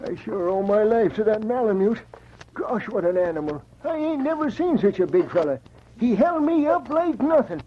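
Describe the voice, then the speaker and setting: An actor playing a brash gold prospector in an old radio adventure, talking in folksy frontier slang. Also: male voice, high-pitched, very animated, normal speed. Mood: grateful, hearty. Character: brash, grateful gold prospector just rescued from drowning. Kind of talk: vintage radio adventure acting. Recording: dull, noisy old radio recording.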